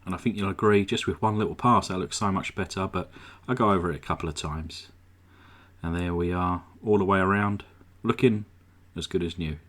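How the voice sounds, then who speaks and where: A man with a slightly croaky throat narrating his model car restoration at a home workbench, in a relaxed, chatty way full of "uh" fillers. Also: low-pitched, fairly quick. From England. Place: home workshop. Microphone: desk microphone.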